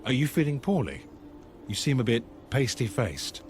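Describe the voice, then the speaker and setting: An actor playing an inquisitive, principled and formal adventurer in a video game cutscene. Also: male voice, medium pitch, very animated, moderate pace, slight background noise, lightly acted. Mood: questioning.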